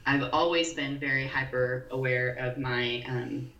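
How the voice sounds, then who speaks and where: A woman in her forties with a very low, scratchy voice chats as a podcast co-host. She talks haltingly, pausing and filling gaps with ums. She sounds set back from the mic in a roomy space, with a faint hiss behind her. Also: fairly even intonation, a bit bright, distant mic, muffled recording. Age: 40s. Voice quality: scratchy, deep voice. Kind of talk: casual chat. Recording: echoey room, voice a bit off-mic, faint noise.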